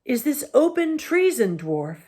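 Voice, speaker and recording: Woman playing an indignant young king, pitched up. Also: big pitch swings, unhurried, slightly rough, full character voice. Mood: outraged. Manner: forceful, challenging.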